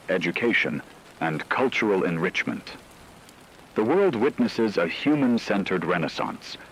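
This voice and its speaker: Deep-voiced man acting as earnest male radio anchor. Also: poor audio. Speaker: news anchor in a fictional radio broadcast. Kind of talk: scripted radio news narration. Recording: hissy radio with rain-like noise.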